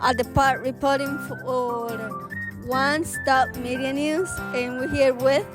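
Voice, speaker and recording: A woman's voice: high voice, fairly even intonation, slow pace, music in the background. A woman interviewing people in a park, asking and explaining casually. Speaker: street interviewer. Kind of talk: reporting and asking questions. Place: outdoors in a park.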